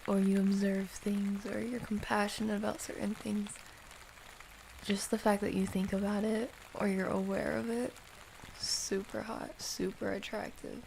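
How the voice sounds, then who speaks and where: A woman hosting an ASMR livestream, chatting casually and softly with viewers in a playful, affectionate, unhurried way, sometimes close to a whisper. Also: mid-range voice, little pitch movement, vocal fry, a little hiss or hum. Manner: soft-spoken, unhurried, drifting into whispers.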